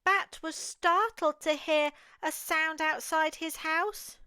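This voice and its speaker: A woman with an English accent reads a picture book aloud to young children in an expressive, deliberate storytelling manner. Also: very high voice, no echo, clean studio sound. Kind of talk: read-aloud picture book narration for toddlers.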